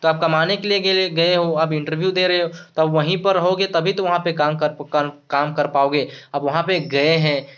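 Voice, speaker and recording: A man teaching English to Hindi speakers. He repeats himself, restarts words and slows right down when he says the English examples. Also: fairly high pitch, level tone, fairly bright tone, clear, resonant tone. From Jharkhand, India. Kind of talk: explaining English phrases in Hindi. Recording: dull lo-fi sound.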